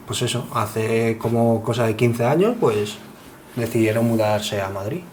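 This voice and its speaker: A man's voice: mid-range voice, measured pace. Young Spanish man, mid-range voice, chatting. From Spain. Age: 20s. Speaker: podcast guest. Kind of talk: casual chat. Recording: clear steady background noise.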